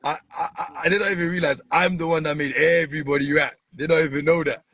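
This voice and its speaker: A man with a husky voice hosting a casual podcast chat, pressing his guests with the same question again and again. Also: on the high side, fast. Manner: animated, repeats questions insistently. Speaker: podcast host.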